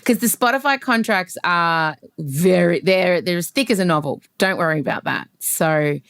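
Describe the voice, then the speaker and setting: A woman's voice: on the low side, fairly bright tone, smooth, no room sound, clean studio sound. A woman hosting a podcast, talking animatedly and gushing with jokey asides, recorded on a laptop setup. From Australia. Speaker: podcast host. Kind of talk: casual podcast chat.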